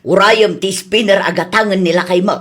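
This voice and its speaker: A woman acting as a disapproving older Ilocano mother in a radio drama. Her medium-pitched voice is husky and rough. She chides like someone who has seen it all before. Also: fairly even intonation, brisk, a bit bright, lightly acted. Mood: chiding. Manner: chiding.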